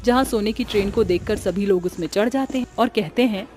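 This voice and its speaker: A woman's voice: medium pitch, fairly quick. A woman narrating a scripted cartoon story as its storyteller.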